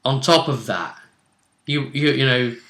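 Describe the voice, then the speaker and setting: A man hosting a casual discussion of a tabletop game, talking thoughtfully with drawn-out words and frequent restarts. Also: mid-range voice, fairly bright tone. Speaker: game master and co-commentator. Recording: roomy echo.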